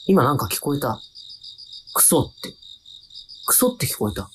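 A man's voice: mid-range voice, wildly swooping pitch, normal speed, a bit bright, slight background noise. An actor playing a timid young man who narrates his own radio drama with wry, self-deprecating asides. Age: young adult. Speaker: narrator-protagonist. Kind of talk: radio drama inner monologue.

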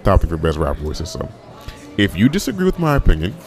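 A young man with a very deep, low voice hosts a casual podcast on his own. He rambles and restarts his sentences often, thinking out loud. Faint music plays softly behind him. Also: huge pitch swings, brisk. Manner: rambling, full of restarts and fillers. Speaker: podcast host. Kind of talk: casual solo podcast talk.

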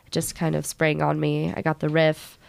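A woman's voice: deep voice, monotone, normal speed, vocal fry, clear, resonant tone, dry recording. A woman guitarist and songwriter talking about her band's music in a casual, rambling, reflective way with many fillers. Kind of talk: casual interview chat.

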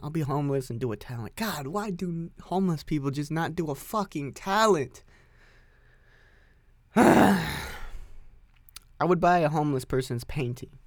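A man's voice: medium pitch, no echo. Rambling, profane man with a dry voice. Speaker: podcast host. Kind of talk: casual solo podcast rant.

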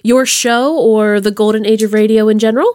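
A woman's voice: a little high, fairly even intonation, brisk, darkish tone. A woman hosting a casual podcast interview with an effusive, playful way of talking. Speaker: podcast host.

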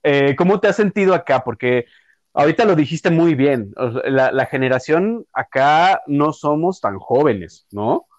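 A man's voice: fairly high pitch, a little slow, dry recording, dull audio. Chatty Mexican man hosting, dry close sound. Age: late 30s. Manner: relaxed, chatty, uses Mexican slang. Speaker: podcast host. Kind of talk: casual interview chat.

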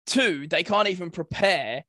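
A man's voice: high-pitched, lively intonation, unhurried, pushed and bright, no room sound, studio quality. A young British man in casual podcast chat as co-host, informal and acting out imagined exchanges. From United Kingdom.

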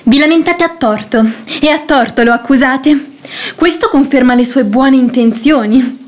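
An actress playing a cheeky, sharp-tongued young maidservant in a radio drama, pert and sarcastic. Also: female voice, high voice, normal speed, lightly acted.

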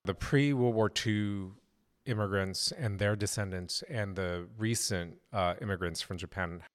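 A man hosting a conversational interview, speaking in a measured, thoughtful way. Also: fairly low pitch. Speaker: interviewer, host.

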